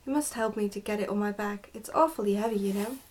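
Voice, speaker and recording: A woman's voice: medium pitch, fast talker, darkish tone, close to an everyday voice. A woman reading the part of a naive young goblin son in a storybook, plaintive and full of questions. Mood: complaining.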